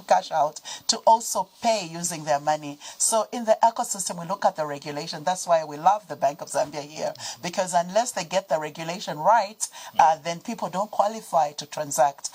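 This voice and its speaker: A woman's voice: fairly low pitch, darkish tone, rough recording. A woman answering interview questions on a TV business show, explaining steadily with frequent fillers. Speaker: guest from a financial development organisation.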